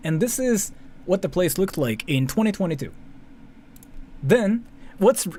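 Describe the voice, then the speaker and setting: A man's voice: high voice, lively intonation, measured pace, mellow. A man from Japan with a Japanese accent doing casual, rambling live commentary, often repeating and interrupting himself. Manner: rambling, repetitive, self-interrupting, swears casually. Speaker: streamer, commentary host. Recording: faint background noise.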